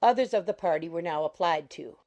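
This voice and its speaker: A woman narrating an audiobook in a measured, formal storytelling manner. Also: lowish voice, darkish tone. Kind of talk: scripted literary narration.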